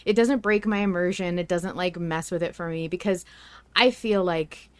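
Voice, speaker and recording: Smooth-voiced woman hosting a fan podcast. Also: lowish voice, dry recording. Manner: chatty, reflective, quick to laugh. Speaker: podcast host. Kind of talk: casual fan podcast chat.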